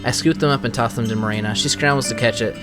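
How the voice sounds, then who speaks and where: A man's voice: medium pitch, quick pace, over loud music. A man streaming a game, reading story narration aloud and giving casual commentary as host. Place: game playthrough recording with music behind.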